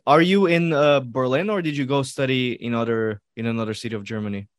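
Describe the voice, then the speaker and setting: A man's voice: mid-range voice, fast talker, no echo, clean studio sound. A man chatting casually and informally as a podcast co-host, often repeating words as he goes. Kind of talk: casual chat.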